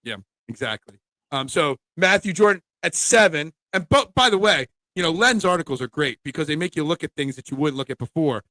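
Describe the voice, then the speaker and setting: A man's voice: a little high, animated, brisk, sharp tone, no room sound, poor audio. A man hosting a casual sports betting preview show, chatting with his co-hosts.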